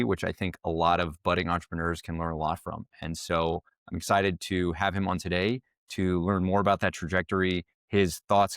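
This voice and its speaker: A low-pitched man hosts a podcast, talking with enthusiasm and clear, articulate phrasing. His voice is recorded dry and close, with no room echo. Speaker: podcast host and investor. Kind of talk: podcast interview.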